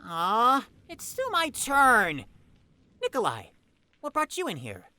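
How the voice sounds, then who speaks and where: Woman as a pompous, bright-voiced young know-it-all. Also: on the high side, huge pitch swings, slow, dry recording, exaggerated voice. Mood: prim. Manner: pompous, formal, haughty wording. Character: pompous, prickly, studious overachieving young rule follower. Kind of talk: audio drama acting.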